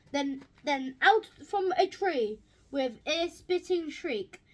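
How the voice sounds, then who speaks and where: A woman reading a rhyming children's picture book aloud, haltingly, stumbling and repeating words as she goes. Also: extremely high pitch, poor audio. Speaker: storybook reader.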